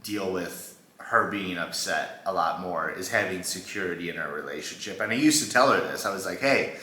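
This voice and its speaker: A man's voice: sits low, normal speed, sharp tone, echoey room. A young man chatting casually with his partner about their relationship, laid-back, with many fillers like "you know" and "like". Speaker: co-host of a couple's channel. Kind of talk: casual couple chat, storytime.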